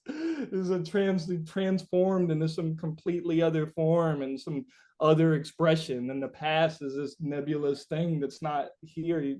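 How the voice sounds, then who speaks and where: Man, slightly high voice, reflective interviewee, rambling, philosophical, repeats phrases for emphasis. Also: darkish tone. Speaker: interviewed musician.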